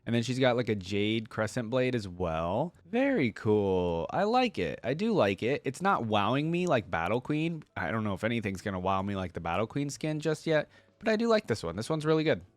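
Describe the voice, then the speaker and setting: A man's voice: medium pitch, big pitch swings, normal speed, no room sound. A man giving casual reaction commentary as a video host, thinking aloud in informal, slangy language.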